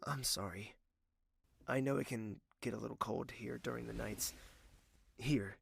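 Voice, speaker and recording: A man's voice: medium pitch, a little slow, gravelly, a little hiss or hum. A man playing a tender, secretive young prince with a formal, hesitant way of speaking in a romantic audio drama. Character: soft-spoken young prince in love, secretive and wistful. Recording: music under the voice.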